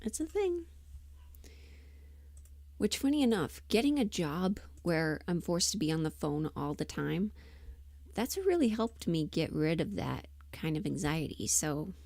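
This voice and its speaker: A woman's voice: fairly low pitch, big pitch swings, measured pace, vocal fry, a little mellow, dry recording. A woman chatting casually as she hosts a livestream, often muttering half to herself and trailing off as she works. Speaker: livestream host and audio performer. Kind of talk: casual livestream chat while working.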